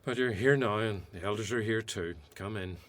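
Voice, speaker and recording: Male actor as aggrieved Hebrew householder, deep low voice, grave, stern, radio Bible drama. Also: normal speed, background noise, close to an everyday voice. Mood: stern, reproachful.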